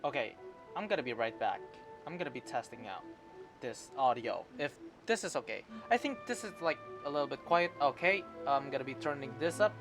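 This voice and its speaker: Halting man streaming over loud music. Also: medium pitch, expressive. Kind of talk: casual livestream chat over a game. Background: game music.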